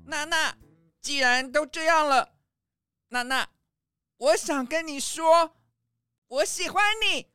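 Shy little rabbit boy, high-pitched, slow, hesitant, earnest, dry recording, children's audio drama. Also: a bit bright, dry recording, soft background music. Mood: bashful, lovestruck. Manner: bashful, hesitant.

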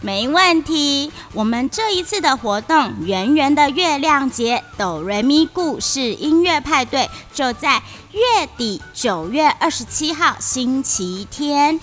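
A woman's voice: sits high, animated, slow, hardly any pauses, a bit bright. A woman co-hosting a children's event promo, explaining a snack-making activity in an eager, bubbly way. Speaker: co-host who teaches snack making.

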